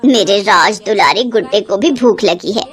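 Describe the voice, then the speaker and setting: A stern but caring older matriarch, acted by a woman narrator, pitched well down from the usual storytelling voice. She talks in a measured, matronly way, as the head of a household in a family story. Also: natural voice. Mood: approving. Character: stern older mother-in-law and aunt, scolding but affectionate.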